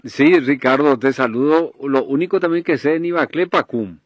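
Husky male radio host, playful. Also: medium pitch, moderate pace, dry recording. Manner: playful, bantering, laughs easily. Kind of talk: radio news and casual chat.

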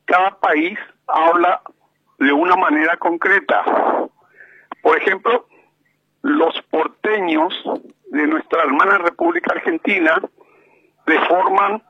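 A man with a Paraguayan Spanish accent, calling into a radio show and speaking slowly with many hesitations. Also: a little high, fairly even intonation, husky, dry recording. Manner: slow and measured, with many hesitations. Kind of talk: slow deliberate radio commentary. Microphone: telephone. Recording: muffled phone line.

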